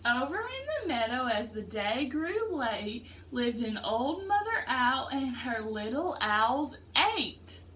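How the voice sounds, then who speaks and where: High-pitched, slow-paced woman reading to children. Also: sing-song, a bit bright, slight background noise. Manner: instructive, simple explanations with questions to pupils. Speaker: teacher reading aloud to a class. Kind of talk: interactive children's picture-book read-aloud.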